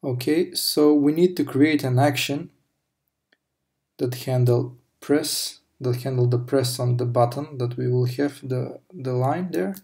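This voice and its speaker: A man narrates a programming tutorial while working on screen, picking his words slowly and correcting himself as he goes. His voice is dark and mellow with a gravelly edge. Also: mid-range voice. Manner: slow, halting explanation with fillers and self-corrections. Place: at a desk, recorded over screen capture.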